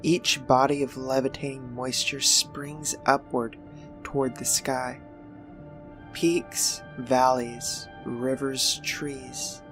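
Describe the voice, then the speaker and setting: A woman reading first-person fiction aloud as scripted narration. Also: very deep voice. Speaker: story reader.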